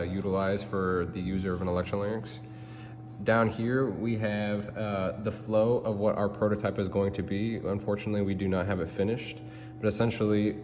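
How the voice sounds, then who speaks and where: A man's voice: lowish voice, a little mellow. A young man giving a prepared student project presentation and demonstration. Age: young adult. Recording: hollow hall reverb, background hum.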